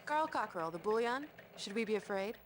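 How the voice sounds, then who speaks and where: A woman's voice: medium pitch, lively intonation, brisk, noisy background, natural voice. A woman voicing a hen news anchor in a video game's parody newscast, polished and melodramatic. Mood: earnest newsreading. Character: brisk female hen television news anchor.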